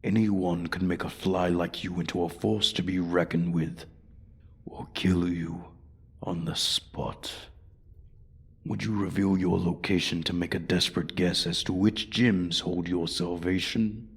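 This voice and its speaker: Man voicing a deep, husky, menacing ancient dragon. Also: steady tone, exaggerated voice. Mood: taunting. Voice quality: husky, breathy, often dropping to a whisper. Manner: haughty, deliberate, coldly threatening. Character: ancient, menacing, arrogant male dragon guarding his hoard. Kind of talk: slow, theatrical fantasy ASMR roleplay monologue.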